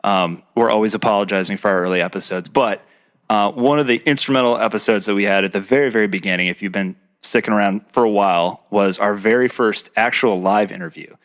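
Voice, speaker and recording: Low-voiced rambling podcast host. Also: male voice, quick pace, a bit bright. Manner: rambling, filler-heavy explaining. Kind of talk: casual podcast chat. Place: a private home.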